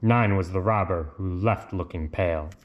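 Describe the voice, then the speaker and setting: Low-voiced man, slow deliberate storybook read-aloud, lilting phrasing, faint background noise. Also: dull audio. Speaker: reader of picture books. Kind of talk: read-aloud children's storybook narration with casual asides.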